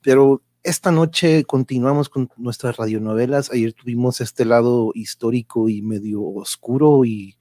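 A man with a Mexican Spanish accent and a medium-pitched voice hosts a casual live stream. His talk is slangy and loosely strung together, wandering from point to point. The sound is close and dry. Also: unhurried, hardly any pauses, warm tone, no room sound. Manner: informal, slangy, rambling. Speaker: host of an online radio drama show.

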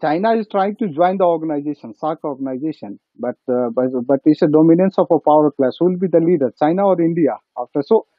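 Phone-like thin audio, high-pitched male voice, Nepali accent, halting and repetitive, business discussion. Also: lively intonation, dry recording. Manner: halting, repeats phrases for emphasis. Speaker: business guest.

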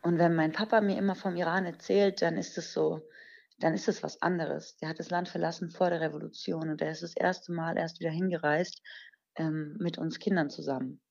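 A woman in her twenties from Germany answers interview questions earnestly, pausing on fillers as she explains. Her voice sits a little low. The sound is dull and lo-fi, like a remote call. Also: fairly even intonation, gravelly, a little mellow. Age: 20s. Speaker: podcast guest, singer.

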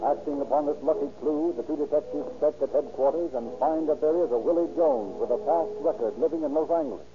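A man's voice: fairly high pitch, quick pace, background noise, natural voice. Dramatic old-time radio crime narrator played by a man. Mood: grave, dramatic. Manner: formal, dramatic delivery. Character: grave male narrator of a police crime drama. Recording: dull old radio broadcast recording.

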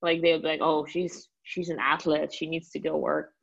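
A woman in her late twenties from Russia with a Russian accent, a guest telling stories in a relaxed way with many fillers. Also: low-pitched, moderate pace, vocal fry, muffled recording. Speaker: guest, company founder. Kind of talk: interview answers.

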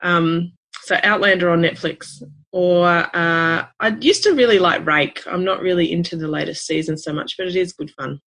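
An Australian woman who is a podcast guest, talking in a relaxed, easygoing way with plenty of fillers. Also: on the low side, normal speed. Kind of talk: casual conversation.

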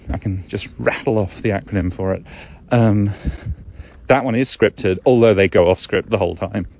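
A man's voice: deep voice, darkish tone. A man hosting a podcast alone, talking in a casual, chatty, digressive way and often correcting himself. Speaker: podcast host. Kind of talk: casual rambling solo podcast talk. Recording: slightly dull, lo-fi sound.

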